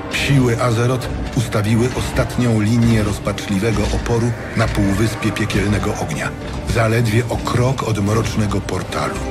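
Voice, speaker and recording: A man reading scripted dramatic fantasy narration in a measured, solemn storytelling manner. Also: lowish voice. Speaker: audiobook narrator.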